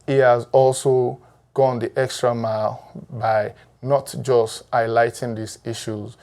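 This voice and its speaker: A man hosting a documentary series, reading a scripted introduction in a steady manner. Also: mid-range voice, slow. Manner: steady, read-aloud introduction. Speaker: documentary host. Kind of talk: scripted narration.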